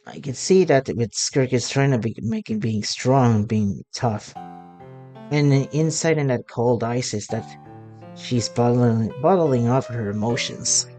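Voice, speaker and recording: A gaming content creator giving casual let's play commentary in a halting, rambling way. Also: medium pitch, mellow, soft background music.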